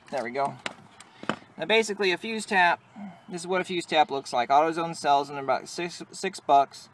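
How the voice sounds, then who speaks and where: A man's voice: a little high, fairly bright tone. A man giving a casual, improvised how-to in a plain-spoken way, busy with his hands as he talks, recorded on a handheld device in a truck. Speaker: amateur video maker giving a how-to. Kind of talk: casual improvised tutorial talk. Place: in and around a pickup truck cab. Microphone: handheld phone or camera close to the work. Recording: noisy handheld recording, vehicle ambience.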